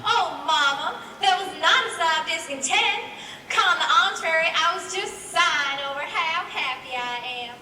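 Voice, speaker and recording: A woman's voice: very high-pitched, expressive, normal speed, bright, forceful tone, heavily acted. Gushing, happy daughter played by a young woman in old-fashioned stage style, long reverb, far from the mic. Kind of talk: theatrical stage acting. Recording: distant, reverberant auditorium, faint noise.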